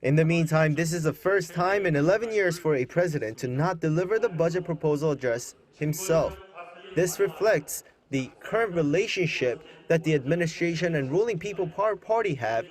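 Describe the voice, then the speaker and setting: A man with a fairly high-pitched voice narrates a scripted news package. He speaks in the formal style of a broadcast reporter. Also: expressive, a little fast.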